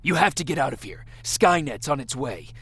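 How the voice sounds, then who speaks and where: Husky, commanding soldier. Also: male voice, mid-range voice, sing-song, moderate pace, fairly bright tone. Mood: grim, urgent. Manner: terse, commanding. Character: urgent, commanding male resistance soldier on a mission. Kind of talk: dramatic action game dialogue.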